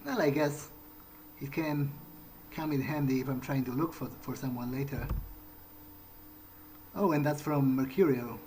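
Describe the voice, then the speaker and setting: Man as pragmatic new vampire in a game, mid-pitched, unhurried and hesitant, faint game ambience behind. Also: fairly even intonation, a little mellow, slight background noise, lightly acted, rough recording. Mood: curious musing. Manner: hesitant, halting musing. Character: newly turned male vampire, wary, pragmatic, musing. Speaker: gamer role-playing his character. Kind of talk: in-character gameplay thinking aloud.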